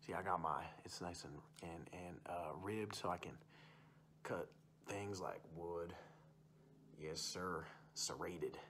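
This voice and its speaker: A young man acts out a wild, rustic uncle in an ASMR roleplay. His voice sits a little low, and he rambles in a folksy, bragging way, circling back to stock phrases. The recording is made close up indoors, with audible background noise and hum. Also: takes it slowly, a bit bright. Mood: boastful, enthusiastic. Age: young adult. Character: wild rustic uncle, boastful hunting and fishing enthusiast. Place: small room indoors.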